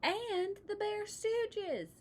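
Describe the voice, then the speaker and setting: A woman's voice: sing-song, extremely slow, a little hiss or hum, exaggerated voice. A woman voicing young storybook bear cubs who plead and protest. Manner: pleading, higher-pitched.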